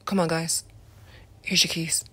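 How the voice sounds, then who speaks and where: A woman voicing a calm, blunt teenage boy friend who talks in a flat, dismissive way in an ASMR roleplay. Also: low voice, a little slow, husky, pitched below the performer's own voice, full character voice. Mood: dry.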